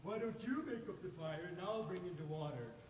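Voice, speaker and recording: A man voicing a big, gullible troll in a folktale, with drawn-out, dramatic delivery. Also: high-pitched, expressive, a little mellow. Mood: flustered. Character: big slow gullible male troll, threatening then pleading. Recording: echoey hall, distant mic, faint noise.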